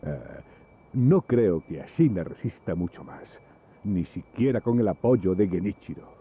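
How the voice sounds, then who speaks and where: Wary fighting man: husky, animated intonation, gruff game soldier, muffled recording. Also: sits low, measured pace, heavily acted. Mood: wary, resolute. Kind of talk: video game voice acting.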